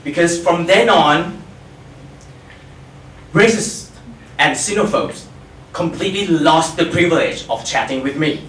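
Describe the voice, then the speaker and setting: A man's voice: fairly high pitch, unhurried, long pauses, a bit bright, echoey room, distant mic, slight background noise, rough recording. A man from Thailand, telling a personal story on stage with wry, self-mocking humor.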